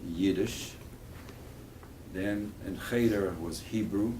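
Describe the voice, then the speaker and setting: Older man, low voice, halting and earnest, speaking to a class from some way off the mic with noise behind. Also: a little mellow. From Poland. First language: Polish. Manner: halting, restarts phrases, earnest. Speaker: guest speaker. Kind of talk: talk and Q&A with schoolchildren. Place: school room. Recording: distant mic with background noise.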